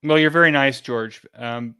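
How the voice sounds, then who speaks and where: A man speaking as an interview guest in a conversational way, explaining things hesitantly with frequent pauses and repeated words. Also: mid-range voice, sharp tone, clean tone, no room sound. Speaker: interview guest, event organizer.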